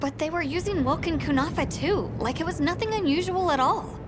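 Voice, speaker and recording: A woman's voice: very high voice, a little fast, noisy background. A woman playing an eager, practical young expedition aide in a game, polite and businesslike. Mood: puzzled. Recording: music under the voice.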